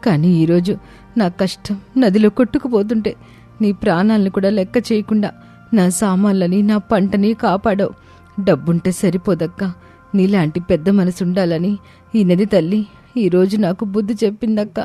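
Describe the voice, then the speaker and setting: A woman's voice: medium pitch, steady tone, normal speed, mellow, faint music behind, natural voice. A woman playing a humbled, emotional young village daughter-in-law in a storybook tale. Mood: remorseful, pleading. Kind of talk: storybook dialogue.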